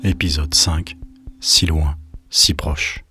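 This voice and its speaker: A man narrating a scripted audio drama in a solemn, measured, literary manner. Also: rumbling low, steady tone, bright, forceful tone, in a whisper. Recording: guitar music behind the voice.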